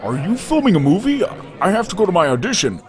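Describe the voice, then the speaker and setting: Man playing a brash, wildly animated big guy. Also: high-pitched, quick pace, soft background music. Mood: indignant. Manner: impatient, indignant. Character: big, brash, ambitious struggling actor in a suit. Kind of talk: audio drama acting.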